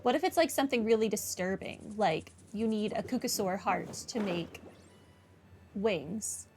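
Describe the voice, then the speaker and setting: A woman's voice: medium pitch, unhurried, a little mellow. A woman giving casual, wry playthrough commentary, musing aloud as she plays a video game. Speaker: game streamer. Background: game music.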